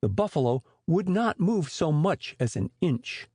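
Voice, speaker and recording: A man with a husky voice narrating a scripted story as an expressive audiobook storyteller. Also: fairly high pitch, a little slow, no room sound, studio quality.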